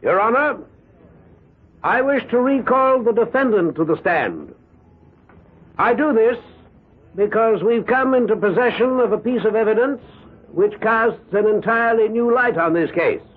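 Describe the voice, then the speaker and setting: An actor playing a formal, probing defence counsel, speaking deliberately in the manner of 1970s radio drama. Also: male voice, sits high, animated, husky, full character voice. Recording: muffled lo-fi 1970s radio recording.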